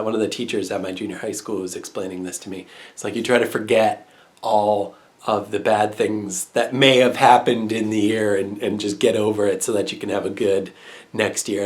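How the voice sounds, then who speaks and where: A congested young man with a nasal voice, talking to his camera in a relaxed, rambling way. His speech is full of pauses, ums and restarts. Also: lowish voice. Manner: rambling, many fillers and restarts. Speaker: English teacher abroad. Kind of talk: casual vlog chat.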